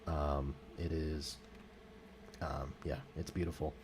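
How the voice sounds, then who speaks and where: A man with a very low voice talks through music history in a loose, unscripted way. He fills pauses with ums and often corrects himself mid-sentence. A faint hiss sits under the recording. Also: level tone. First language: English. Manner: hesitant, many fillers and self-corrections. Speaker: music vlogger. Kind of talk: casual spoken music commentary.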